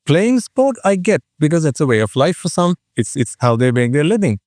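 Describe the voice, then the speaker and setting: A man's voice: on the high side, big pitch swings, brisk, darkish tone, dry recording, studio quality. Sri man giving a dharma talk. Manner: rhetorical questions to the audience. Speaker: Buddhist teacher.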